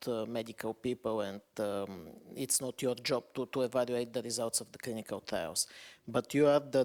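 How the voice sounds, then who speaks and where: Casting note: male panelist, measured, opens sentences hesitantly. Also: medium pitch, a little mellow. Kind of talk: conference panel remarks.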